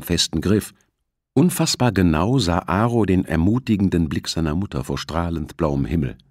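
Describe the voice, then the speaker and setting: Deep-voiced male audiobook narrator. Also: no room sound, clean studio sound. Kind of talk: scripted thriller narration.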